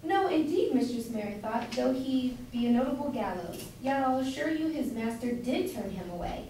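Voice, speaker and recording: A woman's voice: a little high, expressive, moderate pace, dark tone, natural voice. Woman playing a meddling wife, distant and echoey. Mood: fond, meddling. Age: young adult. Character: meddling, fond citizen's wife, chatty busybody. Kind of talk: amateur staged play reading. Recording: echoey hall from far off, noisy.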